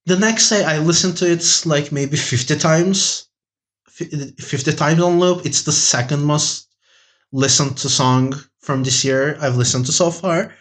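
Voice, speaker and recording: Higher-voiced man chatting enthusiastically. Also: unhurried, fairly bright tone. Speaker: podcast panelist. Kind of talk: casual chat.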